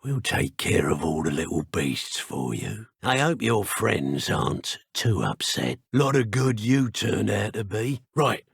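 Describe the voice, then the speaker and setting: A male actor playing a menacing dark wizard thug in a video game, with an English accent and rough, taunting, working-class speech. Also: on the low side, big pitch swings, measured pace, few pauses, no room sound, studio quality, exaggerated voice. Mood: mocking, menacing. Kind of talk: video game combat barks and enemy dialogue.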